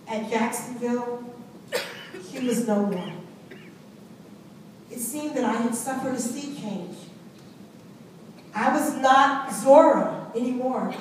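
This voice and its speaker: A woman performing a dramatic monologue as a spirited, proud young Southern woman, with a deliberate, emphatic and expressive delivery. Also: on the high side. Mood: wistful. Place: live reading in an echoing indoor venue. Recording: echoey room, distant mic, faint noise.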